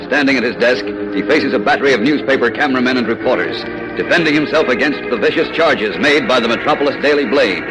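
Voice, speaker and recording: A man's voice: medium pitch, fast, poor audio. A man narrating as a dramatic, declamatory announcer in 1940s radio style. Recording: old radio recording with loud music.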